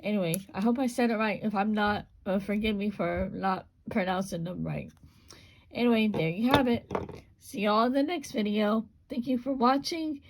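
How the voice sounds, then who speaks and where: A woman with a gravelly, hoarse voice demonstrates a small craft step by step. Her talk is relaxed and unscripted, with plenty of repeats as she works. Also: medium pitch, normal speed, rough recording. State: voice going hoarse. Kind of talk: casual hands-on craft demonstration.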